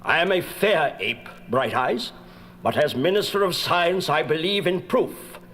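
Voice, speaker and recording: Pompous, imperious old-school ape minister. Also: male voice, a little high, animated, unhurried, a bit bright. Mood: stern, scornful. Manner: stern, imperious, formal. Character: pompous, authoritarian male ape minister of science. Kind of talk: 1970s children's record audio drama acting.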